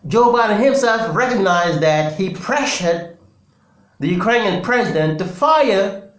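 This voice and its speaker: A man from Senegal with a Senegalese accent, his first language Wolof, giving earnest solo political commentary with deliberate pauses and restarts. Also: high-pitched, lively intonation, fairly bright tone. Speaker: political commentary channel host. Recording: echoey room.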